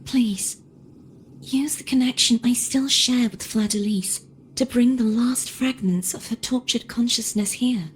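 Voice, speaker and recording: A woman playing a gentle, resigned, self-sacrificing young woman in a video game, speaking softly and breathily with solemn, wistful deliberation. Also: on the high side, a little hiss or hum. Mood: solemn pleading. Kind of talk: dramatic video game voice acting.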